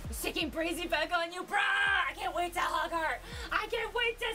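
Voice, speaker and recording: A woman in her twenties, live-streaming from a gaming room with a desk mic, bubbly and excitable, laughing often. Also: very high-pitched, moderate pace, raised voice. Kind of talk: casual live-stream chat. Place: gaming room lit with colored lights. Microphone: desk mic with headset. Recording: music under the voice. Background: background music.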